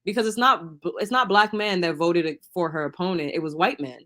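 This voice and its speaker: A woman's voice: on the low side, fairly quick, a bit bright. A woman in her twenties, a guest in a casual debate, arguing her point emphatically. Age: 20s. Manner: emphatic, argues with figures.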